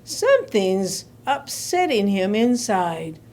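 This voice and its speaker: A woman voicing a calm, concerned male dog veterinarian in a children's story, measured and pondering. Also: mid-range voice, voice pushed down.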